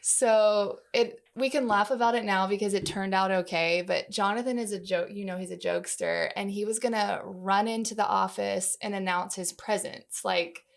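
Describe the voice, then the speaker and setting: A woman's voice: medium pitch, fairly even intonation, moderate pace, vocal fry, hardly any pauses. A woman speaking as an interview guest, telling stories casually and informally, often dropping "like" into her sentences. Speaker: interview guest, marketing staffer. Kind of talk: casual conversational storytelling.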